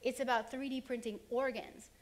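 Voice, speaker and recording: A middle-aged woman who grew up in Honduras, giving an earnest conference keynote and running through examples in quick lists. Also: high voice, steady tone. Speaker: keynote speaker. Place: conference hall.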